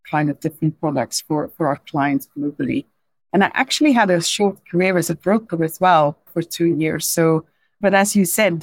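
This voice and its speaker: A woman's voice: on the low side, no room sound. A woman in her forties from Belgium with a Belgian accent, not a native English speaker, answering interview questions in a thoughtful way, often restarting and adding "right?". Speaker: podcast guest, insurance executive.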